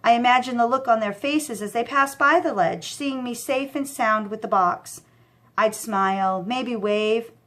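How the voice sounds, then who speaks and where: A woman narrating a children's novel as an expressive storyteller. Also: on the high side, measured pace.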